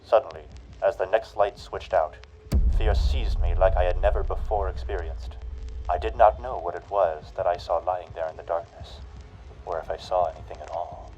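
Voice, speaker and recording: Brief: male actor as a cruel radio-play narrator; low voice, slow, menacing delivery. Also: a little mellow, muffled recording, soft background music, close to an everyday voice. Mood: dread. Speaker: horror radio show narrator. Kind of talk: 1940s radio horror narration.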